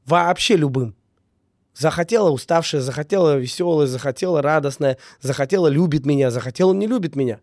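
A man getting over a cold talks quickly and earnestly in a casual interview. His voice sits fairly high but sounds mellow, and the recording is dry and close. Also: dry recording. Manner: earnest, repeats key words, many fillers. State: recovering from a cold. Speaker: business owner, guest.

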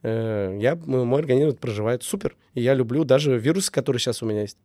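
A man's voice: mid-range voice, expressive, fast talker, warm tone, no echo, clean studio sound. A man getting over a cold, talking earnestly in a casual interview and repeating key words with many fillers. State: recovering from a cold. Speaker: business owner, guest.

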